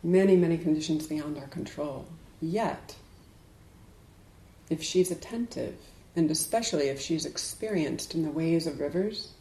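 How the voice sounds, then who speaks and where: A woman giving a dharma talk to a group as a Buddhist teacher, speaking in a measured, deliberate way with emphatic pauses. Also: deep voice, warm tone, a little hiss or hum. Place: indoors in a room. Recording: echoey room.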